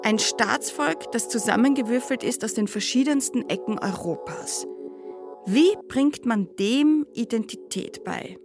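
A woman's voice: on the high side, lively intonation, unhurried, vocal fry, over music. An actress playing an ironic intellectual woman in a radio drama, analysing a nation in pointed, rhetorical monologue. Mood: pointed, analytical.

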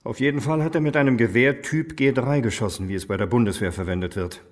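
A man's voice: medium pitch, fast talker, close to an everyday voice. An actor playing a sardonic, dogged male detective questioning someone in a radio crime drama. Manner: sardonic, interrogating.

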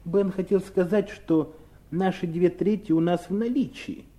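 A man's voice: high voice, steady tone, unhurried, mellow. An actor in a 1970s Soviet radio play playing a stern, impatient husband and businessman with an insistent, scolding manner. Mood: explaining, insistent.

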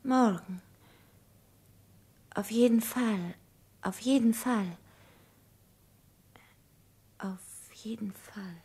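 An actress playing a desperate, secretive young woman in a German radio play, husky and urgent, speaking in short pleading phrases. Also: on the high side, drawn-out words, pauses often, darkish tone, heavily acted. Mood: dazed.

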